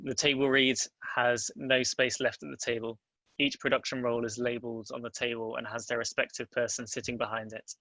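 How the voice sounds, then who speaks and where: A man reads screenplay directions as scripted narration. His voice is bright and crisp-edged, fairly flat in pitch, and recorded dry and close. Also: brisk, no room sound, rough recording. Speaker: narrator reading stage directions. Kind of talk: scripted narration at a table read.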